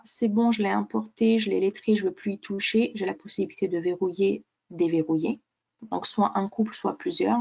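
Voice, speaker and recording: A woman presents software in a webinar, guiding listeners step by step in an explanatory way. Her pitch is average for a woman. The sound is close and dry, with very little room in it. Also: gravelly, no room sound.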